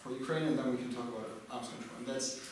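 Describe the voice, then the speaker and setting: Panel expert, male, hesitant and flat, heard from far off in an echoey hall. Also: mid-range voice, fast talker, slight background noise. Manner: hesitant, many fillers and restarts. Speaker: panel expert on arms control. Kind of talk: panel discussion answers.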